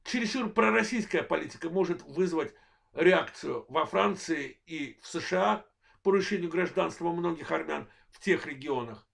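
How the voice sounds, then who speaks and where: A man in his forties from Azerbaijan with a worn voice, delivering a political analysis monologue in measured, halting short phrases as host of his own channel. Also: fairly high pitch, animated, creaky, fairly bright tone. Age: 40s. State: voice worn, apologizes for it.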